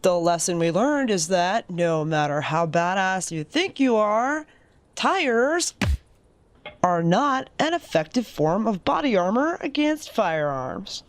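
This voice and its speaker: A woman's voice: medium pitch, very animated, takes it slowly. A woman playing a wry, encouraging adventurer in an audio drama, talking like a casual, teasing mentor.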